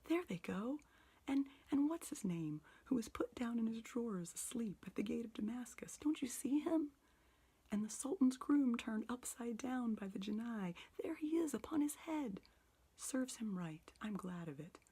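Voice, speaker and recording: A woman whispering the lines of an old miserly man overcome with childlike excitement. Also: fairly high pitch, extremely slow, hardly any pauses, breathy edge, pitched above the performer's own voice, exaggerated voice. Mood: excited.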